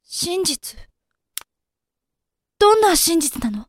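An actress as a guarded, worried young woman in a voice drama. Her voice is very high, and her wording is casual and feminine. The sound is dry and close. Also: big pitch swings, takes it slowly, dry recording, heavily acted. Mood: puzzled. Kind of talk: Japanese amateur voice drama acting.

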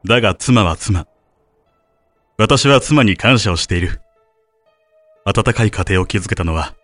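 A man acting as a grave researcher and father, narrating his private journal in an audio drama. His voice sits on the low side, solemn and measured, with music playing behind it. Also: a bit bright. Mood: grave, reflective. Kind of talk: dramatic narration of diary entries.

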